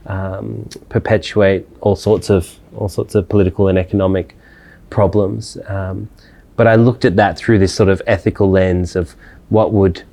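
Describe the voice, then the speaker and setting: A man gives reflective answers in an interview. His voice sits low and sounds soft and mellow. He hesitates often and restarts his phrases as he thinks. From Australia. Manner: thoughtful, hesitant with many fillers and restarts. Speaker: interviewed scholar.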